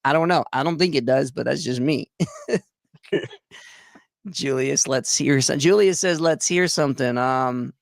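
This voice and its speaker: A man's voice: medium pitch, a little slow, creaky, pauses often, no room sound, clean studio sound. A man hosting a casual livestream talk, laid-back, laughing often and reading out chat comments. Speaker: livestream host.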